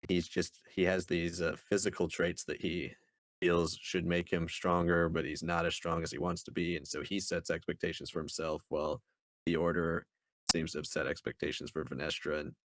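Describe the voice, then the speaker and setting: A man's voice: low-pitched, level tone, moderate pace, dry recording. A man co-hosting a review podcast, speaking in a casual conversational style. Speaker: podcast co-host reviewing books.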